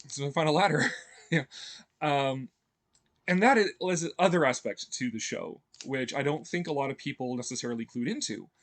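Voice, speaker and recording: Man, casual host commentary, rambling, lots of ums and restarts, mid-pitched voice. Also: fairly bright tone. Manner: rambling, heavy on fillers and restarts; chuckles often. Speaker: video essay host.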